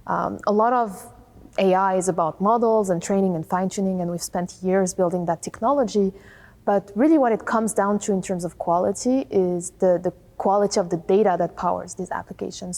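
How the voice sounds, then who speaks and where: A woman's voice: mid-range voice, a little mellow. A woman speaking as an interview guest, a tech founder and scientist giving explanatory answers.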